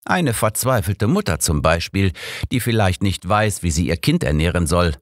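Audiobook role: shrewd elderly gentleman detective, polite and measured, male narrator, dry sound. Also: low voice, very animated, dry recording. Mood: composed. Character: old male detective, shrewd, courteous, composed. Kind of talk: audiobook character voice.

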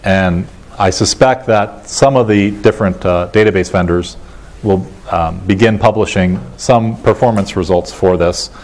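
A middle-aged American man giving a technical conference talk in a measured, matter-of-fact way, pausing often as he goes. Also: deep voice, darkish tone. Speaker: conference keynote speaker. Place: large lecture auditorium, indoors. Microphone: lapel mic.

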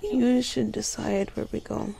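A young woman speaks as a sleepy girlfriend in an intimate ASMR style. Her voice is fairly high yet mellow, with a creaky edge, and she often slips toward a whisper. She sounds drowsy and affectionate. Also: steady tone. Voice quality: soft, sleepy, often dropping into breathy whisper. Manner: drowsy, affectionate, unhurried murmuring with giggles. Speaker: ASMR roleplay performer as a girlfriend. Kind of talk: ASMR girlfriend roleplay, casual intimate chat.

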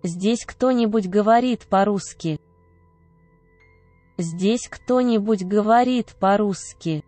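A woman reading short phrases aloud one at a time, each clearly enunciated and measured. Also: mid-range voice, dark tone, no echo, faint music behind. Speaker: Russian phrase reader. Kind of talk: slow repeated phrase reading.

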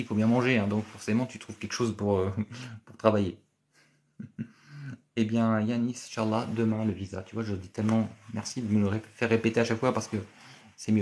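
A man, hosting a casual live stream from a small office and answering viewer comments, chatty and repetitive with many filler pauses. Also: on the low side, gravelly, darkish tone. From France. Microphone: phone camera at arm's length.

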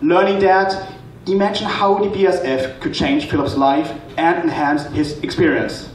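A man in his twenties giving a rehearsed stage pitch as a student presenter, recorded in a hall. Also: steady tone, normal speed. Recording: echoey, noisy hall, distant microphone pickup.

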